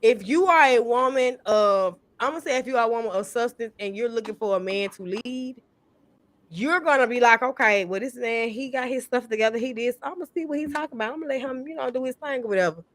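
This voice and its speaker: A woman's voice: a little high, fast talker, no room sound. A woman in her thirties chatting casually on a panel, blunt and emphatic, with a lot of swearing. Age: 30s. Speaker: podcast panelist. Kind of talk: casual chat.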